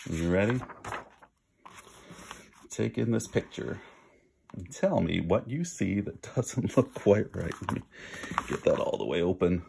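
A man reading a storybook aloud, sometimes pausing to chat with listeners. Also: low voice, expressive, vocal fry, darkish tone, a little hiss or hum. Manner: pauses to chat with listeners and explain words. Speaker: storybook reader. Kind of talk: animated read-aloud storytelling.

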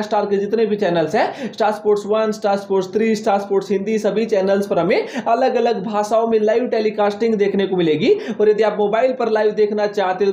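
Young male news presenter, high mellow voice, emphatic and gesturing, roomy dull studio sound. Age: young adult. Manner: animated hand gestures, emphatic repetition of "जी हां". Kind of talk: fast energetic news roundup. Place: indoor studio with green-screen stadium backdrop.

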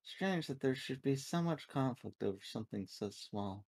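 A man's voice: mid-range voice, animated, moderate pace, creaky, darkish tone, breathy edge, no echo, clean studio sound. A man playing a detached female android. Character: naive, detached female android crew member.

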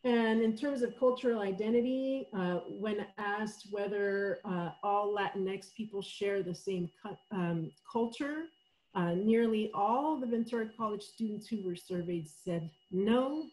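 A woman from El Salvador giving a college webinar talk over a video call, thoughtful and steady, with many fillers and frequent "right?" checks. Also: medium pitch, unhurried, rough recording. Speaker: college professor presenting survey findings. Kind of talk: webinar presentation.

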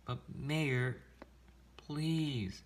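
A man voicing a gentle, loyal police chief in a children's storybook read-aloud, soothing and apologetic. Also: mid-range voice, very slow, voice pushed up. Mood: pleading.

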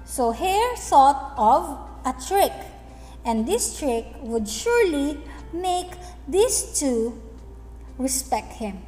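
A woman teacher with a high, lively voice gives an instructive lesson. She speaks slowly and deliberately in a reverberant space with faint music. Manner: deliberate, instructive, with check-in questions. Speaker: English teacher. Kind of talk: explanatory lesson with storybook reading. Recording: echoey hall-like room, faint music behind.

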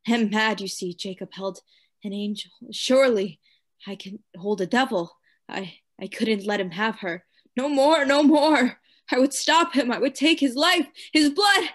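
A woman playing a tormented madman in a radio play, raving and pleading in halting, repetitive phrases. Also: on the high side. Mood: agitated, then terrified. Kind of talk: theatrical radio play acting.

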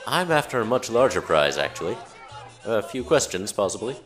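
Man playing a cool, low-voiced investigator. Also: big pitch swings, fairly bright tone, soft background music, some chatter behind. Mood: cool, probing. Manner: measured, polite, cool. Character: calm, probing male investigator posing as card player. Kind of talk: amateur radio play acting.

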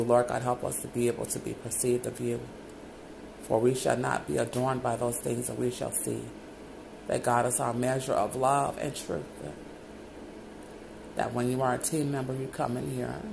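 Deeply gravelly voice in rambling prayer. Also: background noise. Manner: repeatedly calls out "Lord God" between loose, wandering phrases.

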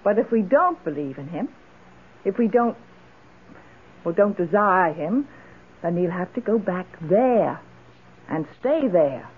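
An elderly woman in a seventies radio play, tipsy, wistful yet resolute. Her delivery is theatrical and swings widely in pitch, on a muffled old broadcast recording. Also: very animated, slow pace, pauses often, breathy edge, exaggerated voice. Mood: earnest, resolute. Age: older. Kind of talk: 1970s radio drama acting.

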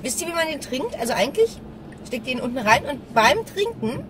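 A woman's voice: high voice, very animated, fairly quick. A woman, talking casually and loosely in an informal vlog recorded in a car. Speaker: vlogger. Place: inside a small car. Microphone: dashboard camera at arm's length. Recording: loud car noise.

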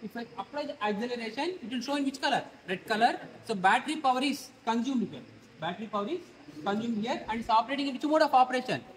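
A man's voice: extremely high pitch, expressive, quick pace, echoey room, slight background noise, low-quality recording. A man in his thirties with an Indian English accent, demonstrating a scooter to a class and quizzing students with questions as he goes. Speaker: instructor. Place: large open workshop hall with students.

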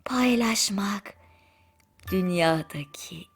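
A woman narrating a bedtime story in a soothing, gentle manner with drawn-out pauses. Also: mid-range voice, slightly rough. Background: music.

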